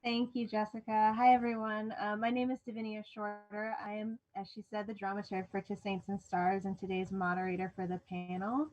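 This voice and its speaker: A woman's voice: medium pitch, level tone, brisk, hardly any pauses, a little mellow. Friendly woman moderator, dull lo-fi audio. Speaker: dramaturg, moderator. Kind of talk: moderating a panel. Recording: dull lo-fi sound.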